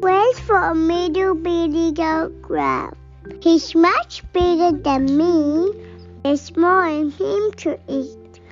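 A woman's voice: very high voice, slow, lo-fi sound, faint music behind, pitched above the performer's own voice, full character voice. A woman voicing a small, clever young male billy goat in a storybook, with a teeny tiny, childlike, pleading voice.

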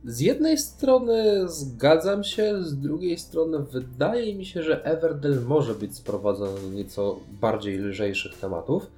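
A man's voice: on the high side, lively intonation, a little slow, hardly any pauses, mellow, soft background music. A man talking casually about board games as a podcast co-host. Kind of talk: casual board game discussion.

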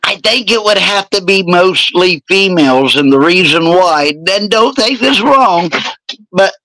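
A man in his forties with a slightly raspy voice, hosting a casual podcast chat with crude jokes. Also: high voice, lively intonation, unhurried, sharp tone, rough recording. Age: 40s. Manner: joking, crude, rambling. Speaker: podcast host.

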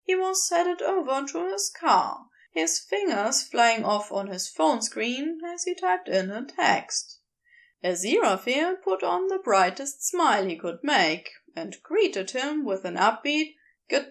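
A woman reading a story aloud as a narrator, in expressive scripted narration. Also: high voice, slow, vocal fry. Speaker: podfic narrator.

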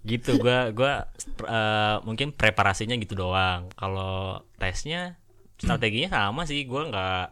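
A man's voice: fairly low pitch, lively intonation, measured pace, sharp tone, clean tone. A young man with a Jakarta Indonesian accent, chatting casually and jokingly with friends in slang as a podcast host. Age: young adult.

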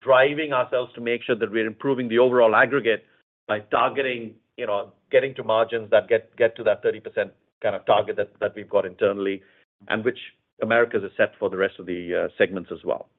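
A man speaking as a company executive, giving measured, explanatory answers in a semi-formal earnings call style, often repeating phrases. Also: lowish voice, fairly even intonation. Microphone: conference call line. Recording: narrow tinny phone-line sound.

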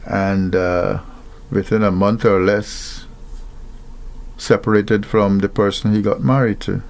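An older man from Guyana speaks in a slow, reflective way about marriage and equality. His voice has a gravelly, creaky edge, and he often stops, restarts, and fills gaps with soft hesitations. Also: fairly low pitch, level tone. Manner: thoughtful, halting, many fillers and restarts.

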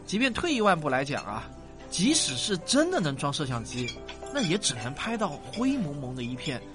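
A man's voice: a little high, huge pitch swings, moderate pace. An actor playing an affectionate, indulgent father in a science-fiction radio drama, coaxing and exclaiming with sighs. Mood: patient explaining. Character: affectionate, indulgent father humouring his young daughter. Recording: music bed behind the voice.

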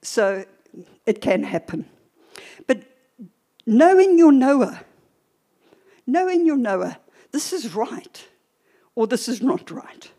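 An older woman preacher teaching a church sermon in a deliberate, emphatic way, with short pauses and repeated phrases. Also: a little high, warm tone, slightly rough.

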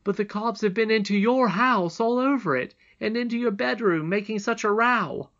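Young miner lad for a storybook, read by a woman: boyish, brave, humble, raised pitch, a little lo-fi. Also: normal speed, a bit bright. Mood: urgent telling.

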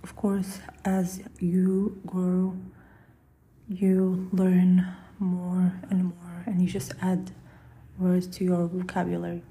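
A young woman whose first language is Arabic, hosting a solo podcast in a soft, often breathy and half-whispered, rambling way. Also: lowish voice, takes it slowly. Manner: relaxed, rambling, with fillers and restarts. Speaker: podcast host.

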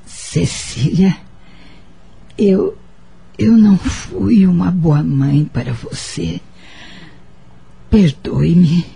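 A woman playing a weak, ailing, remorseful mother in a radio drama, speaking haltingly with tender regret. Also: fairly low pitch, gravelly, warm tone, husky, exaggerated voice. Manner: halting, slow. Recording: background music under the voice.

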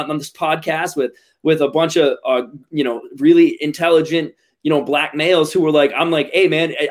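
A man in a casual podcast discussion, talking earnestly and stumbling over his words with many restarts. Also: on the high side. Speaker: podcast guest.

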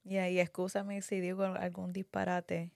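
A woman in her thirties whose first language is English, co-hosting a podcast in Spanish in a calm way and slipping in English words. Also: fairly low pitch, measured pace, creaky, no echo, clean studio sound. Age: 30s. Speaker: podcast co-host. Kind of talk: casual personal chat.

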